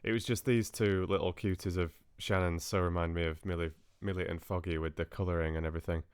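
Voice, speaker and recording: Male livestream host, low-pitched voice, dry close sound, friendly, chatty, hesitant delivery. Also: steady tone, fast talker, smooth, no echo. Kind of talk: casual chat with live viewers.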